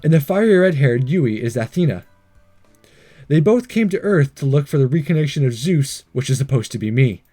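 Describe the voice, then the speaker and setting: A man's voice: mid-range voice, lively intonation, moderate pace, warm tone, soft background music. A man hosting a game playthrough, reading visual novel text aloud with jokey asides and the odd stumble.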